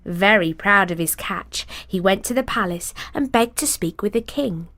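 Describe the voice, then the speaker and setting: A woman reading a fairy tale aloud in an expressive storybook cadence. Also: mid-range voice, normal speed, soft background music.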